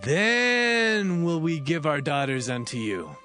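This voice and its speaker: An actor playing a proud, indignant son of a patriarch in a dramatised audio Bible, emphatic and declamatory. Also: male voice, a little high, expressive, takes it slowly, sharp tone, faint music behind, heavily acted. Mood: firm bargaining.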